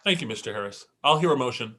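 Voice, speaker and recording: Man, bright forceful voice, medium pitch, council president, brisk formal procedure. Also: expressive. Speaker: council president chairing the meeting. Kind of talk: formal meeting chairing, procedural.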